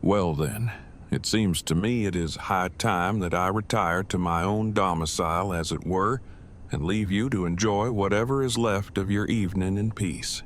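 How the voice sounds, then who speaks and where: Low-voiced, stiffly formal awkward man played by an actor. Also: moderate pace, a little hiss or hum, close to an everyday voice. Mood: stiff, polite. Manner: stiff, pedantic, wordy phrasing. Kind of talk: audio drama acting.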